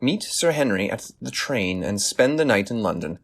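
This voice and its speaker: An actor playing a brisk, sceptical Victorian detective in an audio drama, speaking in a formal, inquisitive way. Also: male voice, lowish voice, lively intonation. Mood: measured, decisive.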